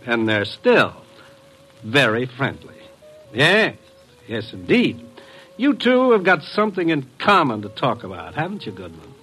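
Needling, bitter husband, wildly animated. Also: male voice, mid-range voice, measured pace, soft background music, exaggerated voice, low-quality recording. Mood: needling, suspicious. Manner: needling, pointed, insistent. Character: suspicious, bitter businessman and betrayed husband. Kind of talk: old-time radio drama acting.

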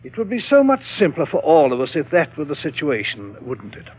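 Smug formal inspector, fairly high raspy voice, sing-song insinuating, old radio. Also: male voice, wildly swooping pitch, fairly quick, heavily acted. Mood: coolly smug. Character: smug, precise police inspector and deputy prosecutor. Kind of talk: classic radio drama acting. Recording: dull vintage radio recording.

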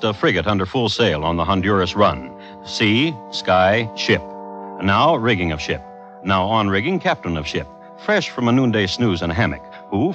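An actor narrating an old-time radio drama as a dry, theatrical storyteller, in deliberate clipped phrases. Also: male voice, lowish voice, fairly bright tone. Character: measured, dryly theatrical storyteller of sea crime tale. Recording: muffled vintage radio recording. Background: music.

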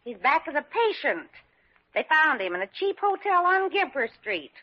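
A gossipy American nurse in an old radio play. Her high voice is fussy and swoops about, on a dull vintage recording. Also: big pitch swings, moderate pace. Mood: excited, gossipy. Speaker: actor in a radio drama. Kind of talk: classic American radio drama acting.

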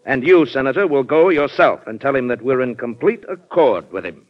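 An actor playing a shrewd, forceful colonel and scheming former president in an old-time radio drama. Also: male voice, mid-range voice, expressive, moderate pace, natural voice. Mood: shrewd, confident. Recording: muffled old radio broadcast recording.

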